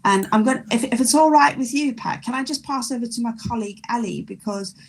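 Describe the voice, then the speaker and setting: A woman with a South African accent, a primary school teacher talking in an online panel discussion, chatty and animated with many restarts. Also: fairly high pitch, fast, gravelly.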